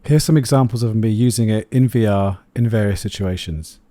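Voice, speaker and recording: A man's voice: lowish voice, fairly quick, noisy background. A man narrating a scripted product review as the host of a video.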